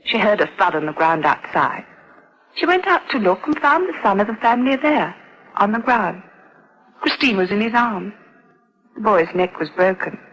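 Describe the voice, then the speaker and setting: An actress playing a proper, confiding adoption official in a radio drama, formal, deliberate and well-spoken. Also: female voice, medium pitch, big pitch swings, fairly bright tone, rough recording. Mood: grim.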